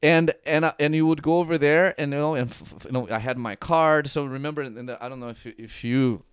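A man's voice: fairly high pitch, a little fast, clear, resonant tone, dry recording, studio quality. A man from Brazil, hosting a casual podcast interview with lots of enthusiasm and restarts. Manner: enthusiastic, many restarts and fillers. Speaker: podcast host.

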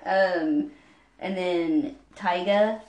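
A woman's voice: on the low side, darkish tone, echoey room, rough recording. A woman co-hosting a podcast in casual, playful banter, rambling from one tangent to another. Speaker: podcast co-host.

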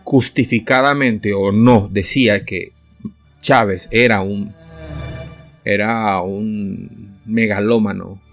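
A man's voice: fairly low pitch, fairly even intonation, faint music behind. Man hosting a solo opinion podcast. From Venezuela. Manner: unscripted and searching, with restarts and filler pauses. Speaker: podcast host.

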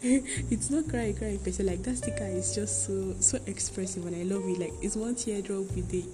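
A young woman hosting her own podcast late at night, talking softly and often whispering, earnest and grateful as she chats casually. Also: medium pitch, normal speed. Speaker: podcast host. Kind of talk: casual solo podcast chat.

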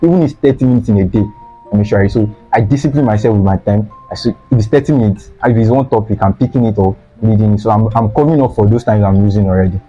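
Young Nigerian man, earnest interview guest, dark mellow tone, slightly lo-fi, faint music behind. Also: lowish voice, quick pace. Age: young adult. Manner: earnest, repeats phrases for emphasis. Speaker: guest, student sweet seller. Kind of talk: casual interview talk. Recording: slightly dull lo-fi sound.

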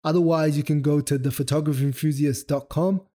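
A man's voice: fairly high pitch, fairly even intonation, brisk, dark tone. A young man hosting a solo photography podcast, explaining loosely with fillers and self-corrections, his throat sore and his voice starting to go. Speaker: podcast host.

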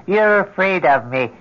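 An actor in an old radio horror drama playing a small, eerily courteous supernatural tempter, measured and insinuating. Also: male voice, high-pitched, muffled recording, full character voice.

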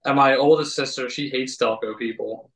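A man, hosting a relaxed, chatty interview and trailing off mid-sentence. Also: mid-range voice, normal speed. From Delaware County, Pennsylvania. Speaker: podcast host. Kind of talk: casual interview chat. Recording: roomy, from a distance.

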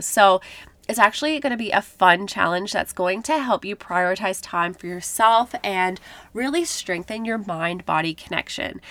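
A woman's voice: mid-range voice, no room sound. A woman in her thirties from Canada hosting a podcast, talking casually and encouragingly about self-care, a little nasal from a cold. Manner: warm encouraging self-help talk with filler likes. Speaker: podcast host.

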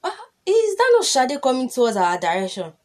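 A woman plays a gossipy, concerned Nigerian neighbour in a radio drama. She is exclamatory and animated, her highish voice swinging widely. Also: brisk.

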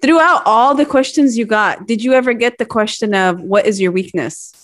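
A woman's voice: a little high, moderate pace. A woman chatting casually as a podcast co-host, teasing and asking lots of questions.

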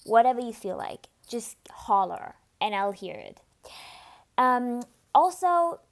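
High-voiced woman with slight vocal fry. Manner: casual, chatty, candid, with fillers and restarts. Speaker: podcast host. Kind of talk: solo podcast monologue.

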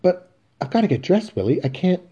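A man's voice: high voice, sing-song, brisk, dark tone, slightly rough, muffled recording, voice pushed up, exaggerated voice. A man voicing a brassy, flirtatious woman in a play reading, pleading and then indignant. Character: flirtatious, brassy adult woman in hotel room.

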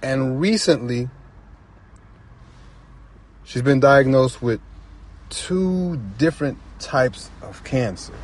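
A man talking casually and reflectively as a guest storyteller, earnest and halting, often repeating phrases for emphasis. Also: medium pitch, animated, slight background noise. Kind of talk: casual, reflective personal talk.

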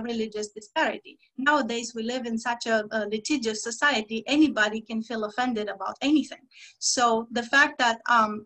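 A woman speaks in a voice that sits fairly high. She explains things in a measured, steady way and stops often for fillers and short pauses. She sounds like a podcast guest describing her work. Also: poor audio. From Romania. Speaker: guest, diversity trainer. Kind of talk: podcast interview.

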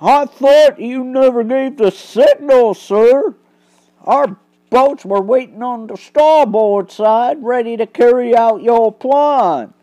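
A woman's voice: a little high, slow pace, mellow, dry recording, voice pushed up, poor audio. Brief: respectful male constable, read by a woman, eager tone.

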